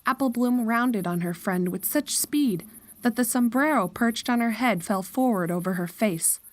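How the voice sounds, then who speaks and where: A woman's voice: fairly high pitch, moderate pace, dry recording. A woman narrator reading a scripted horror story in a storytelling style.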